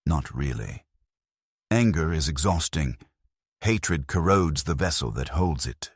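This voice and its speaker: An older man narrating a first-person story in a slow, measured and reflective way. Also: rumbling low, animated, slightly rough, no echo, studio quality.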